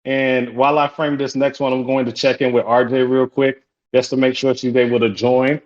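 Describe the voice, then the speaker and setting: A man's voice: mid-range voice, level tone, normal speed, highs cut off. Haitian Creole-speaking man hosting a group call. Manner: upbeat, repeats welcomes, chatty asides. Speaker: support group facilitator.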